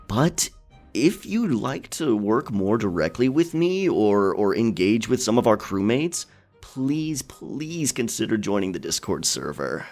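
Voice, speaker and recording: A man playing a friendly, caring pirate captain in a soft, chatty, encouraging ASMR check-in. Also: on the low side. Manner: encouraging, chatty, hesitant, chuckling often. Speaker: ASMR storyteller and streamer.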